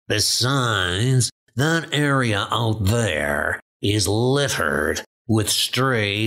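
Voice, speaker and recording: Man voicing a callous mutant rat guard, very slow. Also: mid-range voice, fairly bright tone, voice pushed down, full character voice. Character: callous giant mutant rat, male hunting-patrol guard. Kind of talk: comic dub acting.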